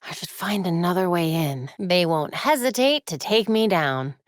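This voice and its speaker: A woman with an American accent playing a young, brainy, determined vigilante superhero in a video game, crisp, earnest and dryly quippy. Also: on the low side, animated, normal speed, no echo, clean studio sound, close to an everyday voice. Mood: focused, businesslike. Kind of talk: video game voice acting, short dialogue lines.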